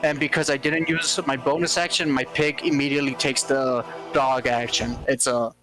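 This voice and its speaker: A man's voice: medium pitch, little pitch movement, normal speed. A man chatting casually as a player in a tabletop roleplaying game, stammering a little and swearing now and then. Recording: music playing over the voice.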